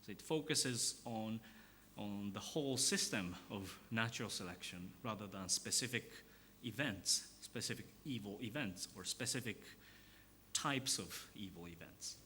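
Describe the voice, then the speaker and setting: A man, a philosophy professor, giving an academic lecture in a measured, explanatory way with pauses and fillers. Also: lowish voice, animated, bright, forceful tone, slight background noise.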